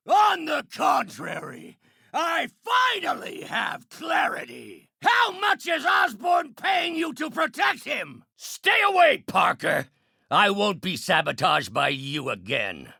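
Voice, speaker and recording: A man's voice: fairly low pitch, lively intonation, a little slow, a bit bright, dry recording, exaggerated voice. A male actor voicing a brilliant, bitter middle-aged scientist turned vengeful villain in a video game, with articulate, theatrical delivery. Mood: furious. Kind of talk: dramatic video game acting, isolated voice lines.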